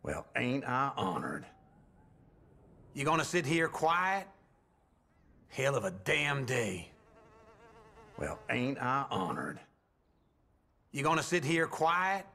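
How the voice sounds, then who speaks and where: Old cowboy layabout, grumbling, folksy Southern American drawl, slow, swinging pitch, video game outlaw. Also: male voice, sing-song, vocal fry, bright, forceful tone, exaggerated voice. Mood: weary. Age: older. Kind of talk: video game character greetings.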